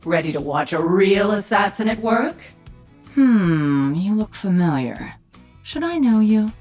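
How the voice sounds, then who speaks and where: A woman's voice: mid-range voice, big pitch swings, measured pace, a little mellow, soft background music. A woman voicing a cocky, sardonic assassin and alien queen in a video game, dry and taunting. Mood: cocky teasing.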